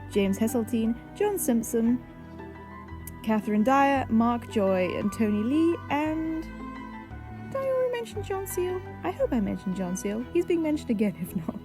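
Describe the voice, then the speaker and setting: A woman podcast host with a high, very animated voice gives informal closing credits. She is chatty, enthusiastic and self-mocking, with music clearly playing behind her.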